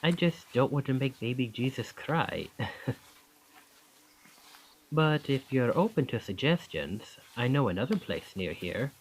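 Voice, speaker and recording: A man's voice: mid-range voice, sing-song, a little slow, long pauses, breathy edge, exaggerated voice, poor audio. A man playing a charming young college student who is secretly a possessive, otherworldly captor, in an intimate, coaxing ASMR roleplay. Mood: friendly, coaxing.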